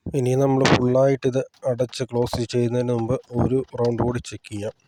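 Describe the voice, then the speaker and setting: A man's voice: medium pitch, flat delivery, a little fast, background noise. A man giving a casual hands-on tutorial, explaining plainly step by step as a hobbyist presenter.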